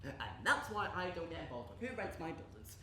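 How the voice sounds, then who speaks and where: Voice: mid-range voice, lively intonation, brisk. An eccentric, slippery underworld landlord acted by a young theatre student rambles and repeats in a stage play. The intonation swings widely and the emphasis is erratic. The live recording is roomy and noisy. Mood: musing, wary. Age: young adult. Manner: rambling, repetitive, erratic emphasis with sudden shouts. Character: eccentric, evasive underworld landlord, talkative and volatile. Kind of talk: live theatre monologue and dialogue. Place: echoey indoor performance space.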